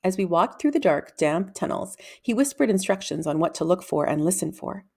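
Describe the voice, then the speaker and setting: A woman reading a children's book aloud as an expressive, dramatic storyteller. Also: lowish voice, normal speed, vocal fry. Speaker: read-aloud reader.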